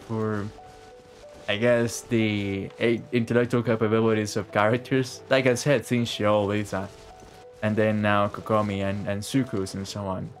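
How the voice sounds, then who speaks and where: A man doing casual live game commentary as a streamer, chatty and informal, repeating himself and swearing lightly. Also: on the low side.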